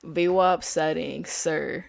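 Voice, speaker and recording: A woman's voice: sits low, fairly even intonation, slow, creaky, dry recording. A young woman chatting casually with viewers while trading live, full of fillers and slang. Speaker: day trader streaming live. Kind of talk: casual live-stream chat.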